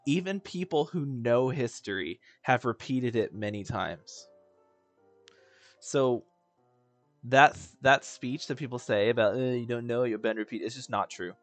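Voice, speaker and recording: A man's voice: mid-range voice, animated, a little slow, a bit bright, no echo, faint music behind. A man doing casual, opinionated reaction commentary as a streamer, talking in a slangy, rambling way.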